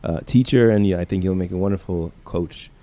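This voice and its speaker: A man's voice: sits low, fairly quick, dark tone. A man co-hosting a podcast from home, speaking supportively and a bit hesitantly, with many fillers. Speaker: podcast co-host. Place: home in Freiburg.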